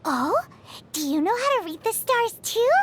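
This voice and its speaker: A woman's voice: very high-pitched, sing-song, moderate pace. A woman voicing a tiny, cheeky floating companion creature in a video game, bubbly and teasing, talking about herself in the third person. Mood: excited.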